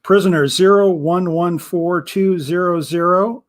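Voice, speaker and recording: A man's voice: fairly high pitch, fairly quick, lightly acted. A man doing a cruel barking prison guard. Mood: stern, cruel. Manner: barking, clipped orders. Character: cruel stern male prison guard captain.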